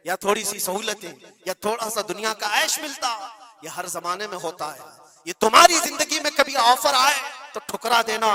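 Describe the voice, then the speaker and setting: High-pitched, forceful Indian male preacher. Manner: emphatic, exhorting, repeats phrases for weight. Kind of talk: impassioned religious sermon.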